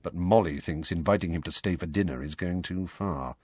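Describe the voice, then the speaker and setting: A man's voice: sits low, normal speed, darkish tone, husky, no echo, studio quality. A man voicing a brooding, roguish godfather in an audiobook, speaking. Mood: muttering, confiding. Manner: muttered undertone.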